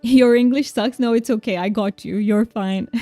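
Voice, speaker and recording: A young woman whose first language is Romanian chats with viewers as she plays, friendly and reassuring, often laughing and restarting her sentences. Her voice is mellow and dry, with soft game music behind. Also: fairly high pitch, a little slow, no echo. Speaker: streamer. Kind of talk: casual game stream chat.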